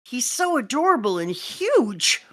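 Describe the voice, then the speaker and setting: A woman's voice: high-pitched, big pitch swings, a little slow, heavily acted. A woman voicing a devoted, doting parent of a young son in a comic read-aloud. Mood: urgent, tender.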